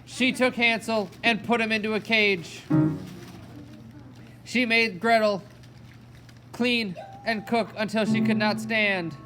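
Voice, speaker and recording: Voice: mid-range voice, steady tone, slow, pauses often, loud music behind the voice. A narrator telling a fairy tale in a storytelling style for a children's puppet show.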